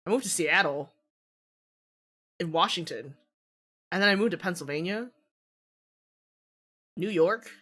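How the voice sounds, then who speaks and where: A woman's voice: fairly low pitch, lively intonation, measured pace, long pauses, a bit bright, breathy edge. A woman with a Midwestern American accent chatting on a stream, giggling and stammering through her restarts. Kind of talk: casual stream chat.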